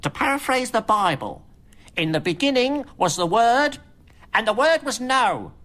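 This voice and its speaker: An actress playing a bossy, sarcastic film company executive in a radio comedy, with a scornful, emphatic delivery. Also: female voice, on the high side, big pitch swings, measured pace, a bit bright. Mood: scornful, dismissive.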